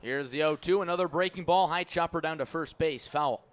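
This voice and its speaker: A man working as a radio play-by-play announcer, calling live baseball in crisp, clipped phrases. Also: a little high, brisk.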